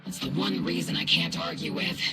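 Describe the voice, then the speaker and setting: An actor playing a gruff but fond, protective squad captain in a video game. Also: deep voice, very animated, brisk, bright, forceful tone, a little hiss or hum, full character voice. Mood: stern, fond.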